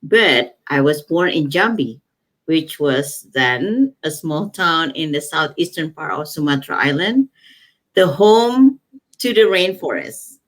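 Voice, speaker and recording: A woman in her forties with an Indonesian accent tells her story as an interview guest, her voice sitting a little low. She is lively and informal, slipping in plenty of "like, you know" and laughing here and there. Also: takes it slowly, poor audio. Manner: chatty and lively, laughing often, many "like, you know" fillers. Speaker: guest, climate activist.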